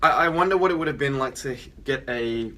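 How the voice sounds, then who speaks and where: A man's voice: medium pitch, brisk, bright, forceful tone. A man co-hosting a fan show, chatting casually and a little hesitantly as he shows off his haul. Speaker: co-host of a comics fan show. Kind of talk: casual show-and-tell chat. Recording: noisy room ambience.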